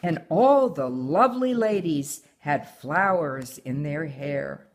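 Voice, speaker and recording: An older woman reads a children's picture book aloud. Her voice sits a little low, and she goes very slowly, placing each phrase with deliberate care. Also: animated, a little hiss or hum. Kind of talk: slow read-aloud of a children's story.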